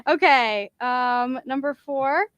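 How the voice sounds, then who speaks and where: Higher-voiced woman hosting a quiz. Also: takes it slowly, a bit bright, no echo, lo-fi sound. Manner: throat clearing between items. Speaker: podcast host running a quiz game. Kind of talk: reading out quiz rounds, casual chat.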